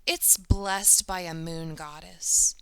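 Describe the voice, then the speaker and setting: A woman's voice: lowish voice, steady tone, takes it slowly, a bit bright, smooth, no echo. A woman voicing an earnest, polite young schoolgirl in a storybook reading. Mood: certain.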